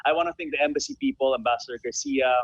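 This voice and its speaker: A man's voice: medium pitch, racing, dry recording. A man talking enthusiastically as an interview guest, repeating phrases for emphasis. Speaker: guest, bobsled team president. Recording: dull, lo-fi call audio.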